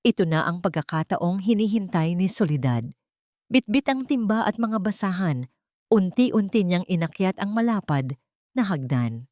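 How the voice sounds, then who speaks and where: A woman narrating a dramatic scripted story in a measured, solemn, melodramatic way. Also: fairly low pitch, lively intonation, mellow, no echo, studio quality.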